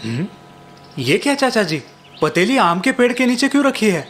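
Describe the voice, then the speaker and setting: A man's voice: high-pitched, big pitch swings, normal speed, fairly bright tone, soft background music. A man playing a spoiled, boastful young village son in a cartoon moral story, with a whiny, dismissive manner. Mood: hungry, complaining. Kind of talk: animated Hindi moral story dialogue.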